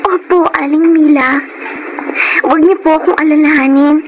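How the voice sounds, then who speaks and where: A woman's voice: sits high, steady tone, normal speed, close to an everyday voice. A woman acting a poor, humble, grieving orphan girl in a Filipino radio drama, polite and earnest, with a Filipino accent. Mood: polite, resolute. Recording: noisy radio drama audio.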